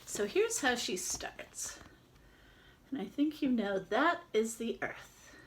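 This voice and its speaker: A woman reading a picture book aloud to children, expressive, with casual chatty asides to explain it. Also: a little high, slow, very noisy. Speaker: children's read-aloud presenter.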